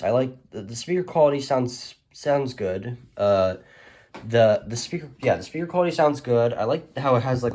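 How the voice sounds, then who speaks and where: A man's voice: medium pitch, a little mellow, a little hiss or hum. A man giving a casual, rambling showcase of his collection, talking informally and hesitantly as he presents each item. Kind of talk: casual product showcase narration.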